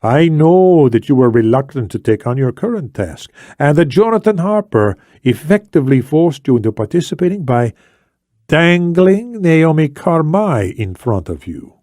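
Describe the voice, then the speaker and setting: A man voicing an older, shrewd and commanding Spanish ex-intelligence officer in formal, deliberate audiobook dialogue. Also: fairly high pitch, big pitch swings, warm tone, no room sound, studio quality, pitched above the performer's own voice. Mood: firm, controlled.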